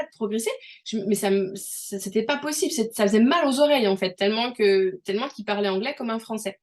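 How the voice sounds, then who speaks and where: A middle-aged woman from France talking casually in an interview, chatty and full of restarts as she explains with examples. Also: fairly high pitch. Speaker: host.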